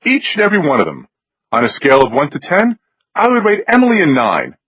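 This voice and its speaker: A man's voice: fairly high pitch, wildly swooping pitch, fast, breathy edge, no echo, highs cut off, pitched above the performer's own voice, heavily acted. A man voicing a haughty, pampered upper-class snob with precise, drawling diction, as an audiobook character. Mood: smug, precise.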